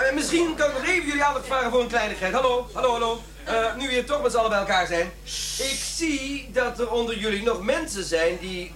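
A man playing an irritable, self-righteous office worker in a radio drama, defensive, pompous and wordy in his way of talking. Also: high voice.

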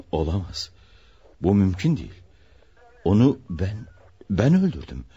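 A respected, wealthy father under arrest in a radio drama. His deep voice is husky and expressive, grave and evasive, stubbornly guarding a secret. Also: slow pace, highs cut off, exaggerated voice. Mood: shocked, insistent. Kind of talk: radio theatre acting.

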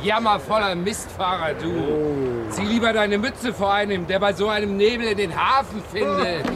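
A man's voice: sits high, expressive, moderate pace. A man playing an indignant seafarer sneering at farmers in a radio drama. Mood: mocking indignation. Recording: loud outdoor harbour noise. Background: loud outdoor noise.

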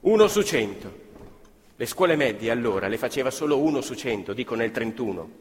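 A man's voice: medium pitch, very animated, fairly quick. Declamatory man narrating on stage. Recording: live stage recording in a reverberant theatre.

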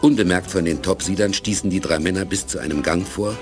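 A man with a husky voice narrating a German audio drama from a script. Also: low voice, fairly quick, soft background music.

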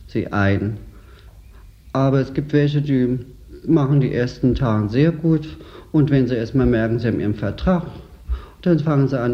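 A man working as a store assistant manager, talking casually and colloquially in an interview, often tagging statements with a questioning word. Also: mid-range voice. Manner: colloquial, tags statements with "nicht?". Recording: slightly dull lo-fi interview tape.

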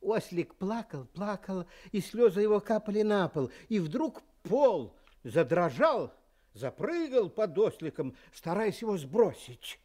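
A man's voice: high voice, big pitch swings, measured pace, a little mellow. A man narrating a fairy tale expressively as a storyteller.

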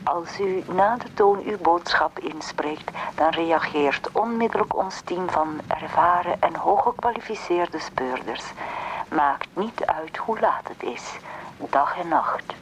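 An actor playing a formal detective agency announcer on an answering machine greeting in a radio play. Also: male voice, fairly high pitch, lively intonation, slow. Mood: formal, promotional. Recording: noisy answering machine tape.

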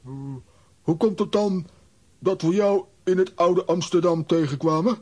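An actor playing a bumbling, cocky comic adventurer in a children's audio drama, hesitant yet pompously formal. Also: male voice, high-pitched, fairly even intonation, unhurried, dark tone, breathy edge. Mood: demanding.

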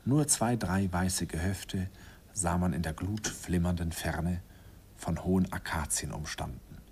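A man's voice: sits low, unhurried, darkish tone, slight background noise. An actor narrating a radio play as a middle-aged man fondly recalling his first love, in a wistful, reminiscing way.

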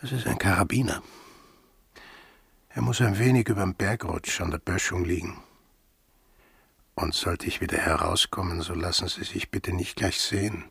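An actor playing a brooding, sardonic, defiant sailor in a radio drama, speaking tersely in a husky, gravelly voice. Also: male voice, sits low, moderate pace, long pauses, a bit bright, dry recording, full character voice. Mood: calm, resolute.

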